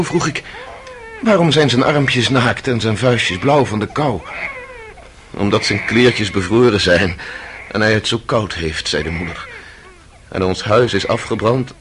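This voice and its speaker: An actor playing a passionate, proud and anguished defendant in a radio drama, speaking theatrically between hush and outburst. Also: male voice, lowish voice. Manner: theatrical, swinging from hushed to outburst. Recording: noisy old radio drama recording.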